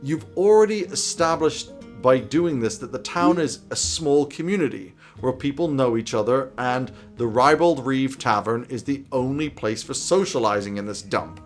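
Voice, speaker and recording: A man's voice: medium pitch, lively intonation, moderate pace, faint music behind. A man in his thirties presenting semi-scripted game master advice to camera in a wry, emphatic, irreverent way. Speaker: host giving game master tips. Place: small indoor home office.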